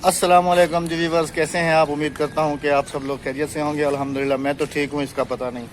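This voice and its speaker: A man's voice: a little high, little pitch movement, quick pace, slight background noise. Chatty Pakistani man on a rooftop. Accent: Pakistani Urdu. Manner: chatty, teasing, addresses viewers directly. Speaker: vlogger. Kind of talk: casual vlog narration. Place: rooftop outdoors.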